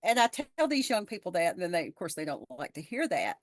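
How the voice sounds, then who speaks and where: A woman's voice: on the low side, sing-song, fast, no room sound, studio quality. A woman in her fifties, a podcast guest, talking frankly and earnestly and often restarting her words as she thinks. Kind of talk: casual conversation. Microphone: video call.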